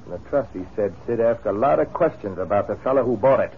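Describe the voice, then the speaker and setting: An actor playing a hard-bitten, scheming escaped convict in a 1950s radio western. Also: male voice, fairly low pitch, expressive, fast talker. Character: ruthless male escaped convict, scheming gold hunter. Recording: tinny, hissy old radio recording.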